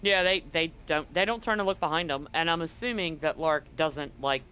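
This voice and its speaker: A man's voice: fairly high pitch, normal speed, pushed and bright. A man improvising casual, sweary narration as game master of a tabletop game. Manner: casual, profane. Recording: music behind the voice. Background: music.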